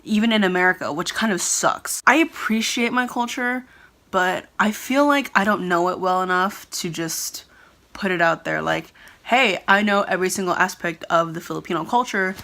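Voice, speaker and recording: Young woman, Californian, Tagalog first language, earnest rambling vlog chat, slightly low pitch, bright tone. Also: normal speed. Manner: earnest, rambling, often laughing and restarting. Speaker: vlogger. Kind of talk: casual talking-to-camera commentary.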